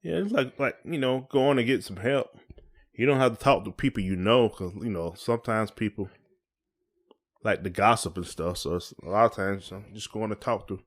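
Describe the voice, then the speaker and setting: Male podcast host, American, lower-pitched voice, informal conversational delivery, colloquial phrasing. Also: normal speed. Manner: colloquial, often checks in with "you know". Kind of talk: casual conversational podcast talk.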